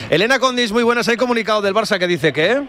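A man with a Spanish accent from Spain hosting live sports talk, musing haltingly and stretching out words. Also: high-pitched, animated, bright, forceful tone. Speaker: sports radio host.

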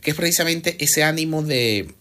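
A man hosting a streamed radio program, interviewing a guest and offering measured, reflective commentary. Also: a little high, lively intonation, pushed and bright. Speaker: radio host.

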